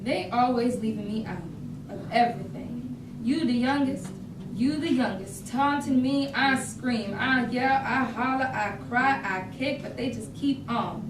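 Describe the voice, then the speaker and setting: Highish voice, woman doing a resentful rebel little sister, stage monologue, echoey and noisy. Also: unhurried, lightly acted. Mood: bitter, fed up. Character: resentful, rebellious youngest sister named Ivy. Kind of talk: staged play reading, dramatic monologue. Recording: echoey room, distant mic, noisy.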